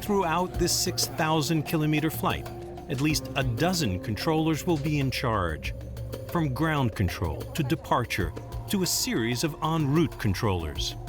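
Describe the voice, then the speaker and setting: Lively, higher-pitched male documentary narrator. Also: a little slow, over music. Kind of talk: scripted narration.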